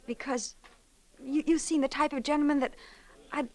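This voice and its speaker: Woman acting a high-voiced, nervous, sweet young lady. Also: fairly even intonation, normal speed, close to an everyday voice. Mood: gently inviting. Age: young adult. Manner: breathlessly hesitant, courteous. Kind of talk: 1940s-50s radio drama acting.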